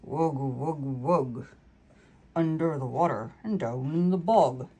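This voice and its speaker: A woman voicing a storybook frog from a bog with sing-song, repetitive croaking words. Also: sits low, slow, dark tone, full character voice. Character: simple singing bog frog.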